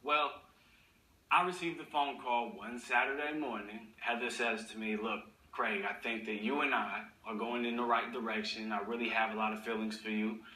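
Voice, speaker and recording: A man testifying as defendant in a TV courtroom, animated and addressing the judge often. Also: medium pitch, moderate pace, far from the mic. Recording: echoey courtroom, off-mic.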